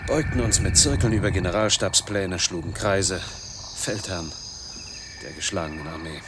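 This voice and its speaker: A man's voice: low-pitched, moderate pace. An actor playing an embittered man in his forties who has fled from noise, delivering a brooding radio drama monologue in clipped, emphatic fragments. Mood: bitter, haunted. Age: middle-aged.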